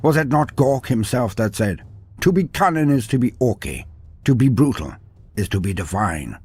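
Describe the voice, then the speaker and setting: A man's voice: mid-range voice, very animated, moderate pace, darkish tone, husky, soft background music. A man delivering dramatic scripted fantasy narration in a grandiose, wry manner with ornate old-fashioned phrasing. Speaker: storyteller, narrator of fantasy war lore.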